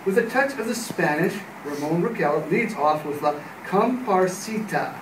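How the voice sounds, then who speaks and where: A man's voice: high-pitched, lively intonation, moderate pace, noisy background. A man playing a hotel dance band announcer in an old radio play, presenting with formal showmanship. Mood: genial, presentational. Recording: distant echoey room, music behind. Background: music.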